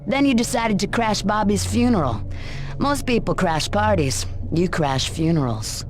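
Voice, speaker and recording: An actress playing a cool, probing interrogator in a video game, talking in a dry, measured, sardonic way. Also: female voice, mid-range voice, animated, over music, lightly acted. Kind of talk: game cutscene dialogue. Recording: clear background ambience noise.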